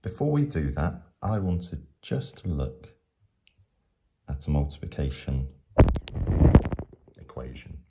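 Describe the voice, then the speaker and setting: A man's voice: rumbling low, creaky, dark tone. A man teaching maths step by step in a patient, explanatory way, going back over his points.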